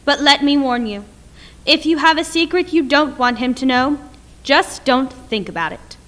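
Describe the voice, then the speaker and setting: A woman playing a showy nightclub announcer in an old-time radio play, proclaiming theatrically over faint rumbling noise. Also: expressive, normal speed, fairly bright tone. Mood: showy, teasing. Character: showy nightclub stage announcer introducing a mind reader.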